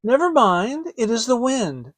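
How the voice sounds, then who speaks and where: A man voicing an eager, hungry young boy in a storybook, with a brisk manner. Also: extremely high pitch, sing-song, dry recording, voice pushed up, exaggerated voice. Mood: light, dismissive. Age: child.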